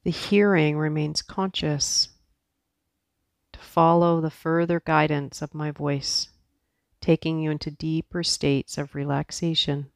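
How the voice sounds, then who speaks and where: A woman leads a guided meditation in a soft, soothing way, speaking very slowly with long pauses. Her tone stays very even, with a gravelly creak at the edges. She sounds like a teacher patiently giving relaxation instructions. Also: low voice, no room sound, studio quality.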